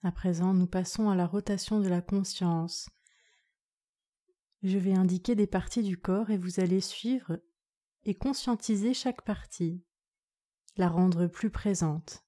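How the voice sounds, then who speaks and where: A woman yoga nidra instructor leading a guided relaxation meditation in a calm, soothing, measured way. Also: medium pitch.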